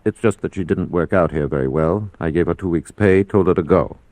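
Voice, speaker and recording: An actor playing a courteous, guarded man in his fifties in an old radio crime drama, measured in manner. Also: deep voice, a little mellow, no echo, lightly acted. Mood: guarded explanation. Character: polite, guarded, tall gray-haired man in early fifties. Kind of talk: mid-century radio crime drama acting.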